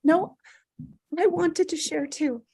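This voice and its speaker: A woman reading the part of a grieving, anxious mother in a drama table read, halting, apologetic and gently insistent. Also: very high-pitched, fairly even intonation, heavily acted. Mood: upset, pleading. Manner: hesitant, halting.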